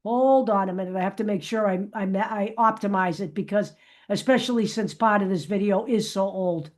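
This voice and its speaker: A woman's voice: mid-range voice, a little fast. A woman hosting a fan vlog, talking casually to viewers and making her points emphatically, often checking them with "okay?".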